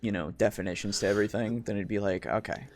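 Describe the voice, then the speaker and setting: A man co-hosting a podcast in casual discussion, rambling and often halting as he searches for words. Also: lowish voice, steady tone, no echo. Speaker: podcast co-host.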